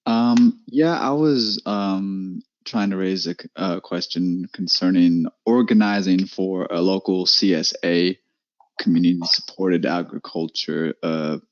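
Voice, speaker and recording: A man with a very deep voice speaks over dry, dull call audio. He starts his question with some hesitation. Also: fairly even intonation, a little slow, no room sound. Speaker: class participant. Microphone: video call.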